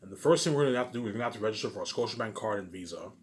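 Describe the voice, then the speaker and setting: A man's voice: on the low side, expressive, rapid-fire, slight background noise. A man giving a rambling, unscripted vlog monologue, emphatic and repetitive, circling back over his phrases and swearing at times. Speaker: vlogger.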